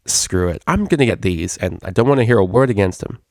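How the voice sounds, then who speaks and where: A man's voice: lowish voice, animated, a little fast, darkish tone, no echo, clean studio sound. A man voicing a brash female unicorn DJ in a story, blunt, slangy and easily flustered. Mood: stubborn.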